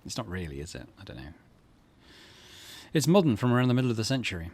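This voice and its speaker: A man with a slightly low voice hosts a let's play. He talks casually and conversationally, musing as he goes and reading aloud from the game. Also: big pitch swings, fast talker, a little mellow, breathy edge. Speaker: gaming channel host.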